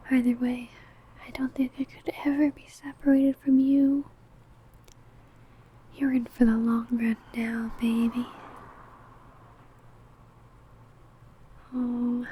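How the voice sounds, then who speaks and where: Woman as a slow, whispering, possessive vampire lover. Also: a little high, dark tone, low-quality recording. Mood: tender, doting. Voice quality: breathy, unvoiced whisper. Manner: slow, intimate, crooning. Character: possessive, doting ancient female vampire, predatory yet tender. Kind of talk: whispered ASMR roleplay.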